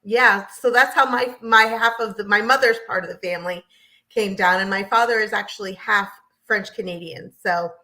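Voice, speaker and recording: An American woman from New Hampshire telling her story as an interview guest in an animated, chatty way. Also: medium pitch, moderate pace, poor audio. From southern New Hampshire, United States. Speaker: guest, realtor.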